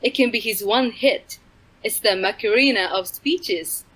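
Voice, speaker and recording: A woman's voice: mid-range voice, unhurried, a bit bright, low-quality recording. Woman reading absurd comedy news straight. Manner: matter-of-fact delivery of absurd jokes.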